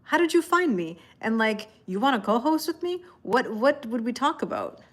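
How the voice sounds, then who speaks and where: A woman's voice: a little high, sing-song, normal speed. A woman from Canada chats her way through a tarot livestream, talking to her viewers. She rambles in loose, easygoing asides, laughing often and calling out viewers by name as she reads their comments. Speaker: tarot reader and livestream host. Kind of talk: casual livestream chat.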